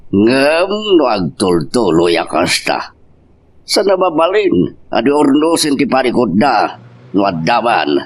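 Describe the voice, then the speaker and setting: Man acting grumbling older father-in-law, low-voiced. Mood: concerned, disapproving. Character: aging father-in-law, grumbling, judgmental family elder. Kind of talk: Ilocano radio-style family drama acting.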